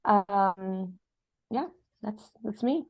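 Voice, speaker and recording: A woman who was born in Argentina and grew up in Spain and Italy answers as a podcast guest. Her mid-range voice has plenty of creak, and she talks in an earnest, reflective, conversational manner. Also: medium pitch, very slow, dull audio. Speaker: guest, dance filmmaker. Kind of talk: conversational interview, personal reflection.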